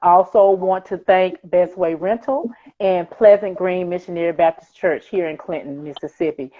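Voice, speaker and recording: A woman's voice: on the low side, normal speed, a little hiss or hum. A woman speaking as a playwright and interview guest, giving emphatic thanks and repeating words for stress. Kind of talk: thank-you remarks on a live interview show. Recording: thin phone-like video-call audio.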